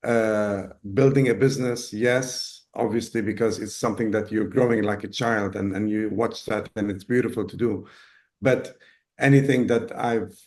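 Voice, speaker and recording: A man from Ghana in his fifties, talking as an interview guest, pausing often with fillers and restarts. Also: lowish voice, fairly even intonation, a little mellow. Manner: hesitant, many fillers and restarts. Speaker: guest, business owner. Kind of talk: casual interview talk.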